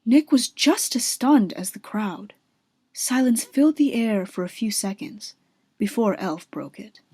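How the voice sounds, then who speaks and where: A woman's voice: on the high side, animated, a little slow, mellow, slightly rough. A woman reading scripted third-person narration as the narrator of a radio drama.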